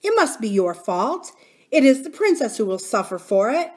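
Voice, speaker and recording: A woman plays a twelve-year-old miner boy in a storybook. He is cheerful and self-assured, speaking in plain, matter-of-fact words with a lively, swinging pitch. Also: normal speed. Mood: matter-of-fact, bold.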